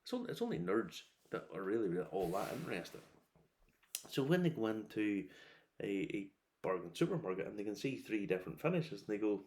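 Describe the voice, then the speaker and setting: A middle-aged man giving a casual, rambling review, opinionated and wry, breaking into animated asides. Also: lowish voice, a little fast. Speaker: whiskey reviewer.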